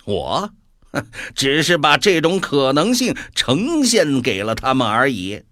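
A cruel supernatural gambler for a radio play, very slow and taunting. His bright, sharp voice swings in pitch as he toys mockingly with humanity, recorded dry and close. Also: sing-song, no room sound, heavily acted. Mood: mocking, smug. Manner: drawn-out, taunting delivery. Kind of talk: radio drama acting.

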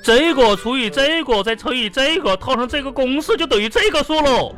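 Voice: high voice, lively intonation, fairly quick, a bit bright, soft background music. An actor playing a fussy, exasperated schoolteacher who scolds with drawled, folksy endings in a comedy radio sketch.

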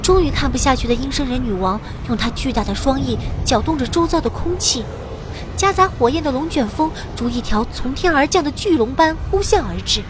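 High-voiced young heroine, narrating. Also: female voice, brisk, noisy background. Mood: tense narrating. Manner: vivid, expressive storytelling. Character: young heroine recounting her party's adventure. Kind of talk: dramatic first-person narration in audio drama.

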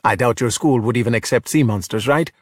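A man's voice: medium pitch, brisk, dry recording, studio quality, natural voice. A man voicing a sullen, jealous boy with a pointed, goading manner in a storybook. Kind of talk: children's storybook character voice.

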